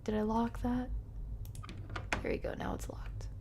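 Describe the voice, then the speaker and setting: A woman's voice: on the high side, little pitch movement, a little slow, in a whisper. A woman doing casual, chatty live commentary as she streams a horror game, reacting and often muttering to herself.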